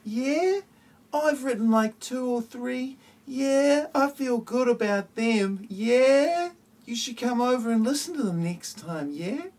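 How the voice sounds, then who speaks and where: A woman's voice: fairly high pitch, animated, takes it slowly, a little mellow, far from the mic. A woman acting young characters in an amateur spoof sci-fi audio play. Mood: casual, friendly.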